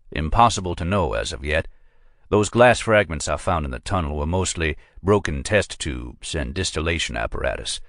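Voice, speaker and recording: A man voicing a suave, unflappable federal agent who talks in a creamy, dry, measured drawl. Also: low voice, fairly bright tone, no echo.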